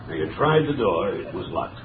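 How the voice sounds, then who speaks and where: A brusque, low-voiced police commissioner. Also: male voice, big pitch swings, normal speed, recorded from a distance. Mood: brisk, stern. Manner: brusque, blustering. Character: gruff, irritable male police commissioner. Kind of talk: 1930s radio drama acting. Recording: scratchy, tinny, echoey 1930s radio.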